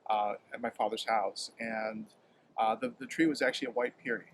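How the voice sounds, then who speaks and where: A man from Hawaii, a home fruit grower, talking in a halting, casual way, often repeating words and filling pauses with um, recorded outdoors in a yard. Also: fairly low pitch, fairly even intonation, slight background noise. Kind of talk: casual interview.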